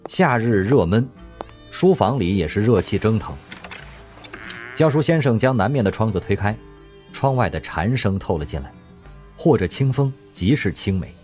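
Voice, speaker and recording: A man narrating a radio drama in scripted storytelling style, expressive and lively in delivery. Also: on the low side, moderate pace, pauses often, soft background music.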